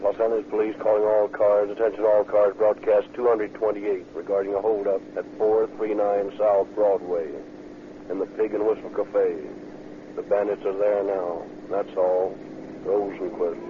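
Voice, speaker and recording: A police dispatcher, voiced by a man, reads out calls in a low, flat, clipped voice. The delivery hardly moves in pitch. The sound is a tinny old radio broadcast with a loud hiss. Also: moderate pace, lightly acted. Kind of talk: 1930s radio acting.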